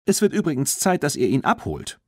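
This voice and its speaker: A man's voice: a little high, huge pitch swings, a little fast, no room sound, clean studio sound, voice pushed up. A man voicing a kindly, decisive, optimistic mother, firm but friendly, in a children's audiobook. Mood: cheerful, decided.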